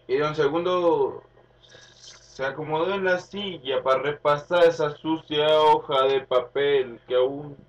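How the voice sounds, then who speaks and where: A man with an Argentine accent reciting a rural tale as a storyteller, theatrically and deliberately. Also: fairly high pitch. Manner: theatrical, deliberate, with repeated phrases. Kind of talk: dramatic spoken-word recitation of a rural tale over music. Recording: dull recording, distant, under loud music. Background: plucked guitar music.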